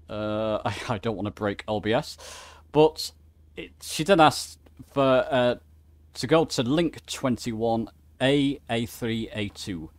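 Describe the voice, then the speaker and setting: Lively man teaching casually. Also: mid-range voice, dry recording. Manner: informal, thinks aloud, self-correcting. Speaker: flight simulator tutorial host. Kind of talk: casual instructional screen-share commentary.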